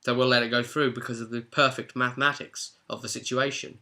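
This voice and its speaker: Guy game master chatting through a session recap, really bright sharp voice, rambles n explains stuff. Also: medium pitch, level tone, fairly quick. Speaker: game master, host of a role-play recap. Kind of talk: casual spoken recap of a tabletop game session.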